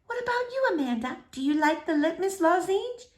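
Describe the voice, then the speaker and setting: A woman voicing a gentle, wistful old lady librarian who tells stories in a measured, deliberate way for a children's storybook. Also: very high-pitched, big pitch swings, voice pushed up. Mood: genteel, kindly.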